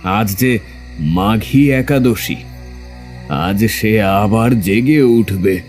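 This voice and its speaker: A man playing a fearful heir of a cursed landlord family, telling his tale in a grave, drawn-out way in a horror audio drama. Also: fairly low pitch, darkish tone, faint music behind. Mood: grave, haunted.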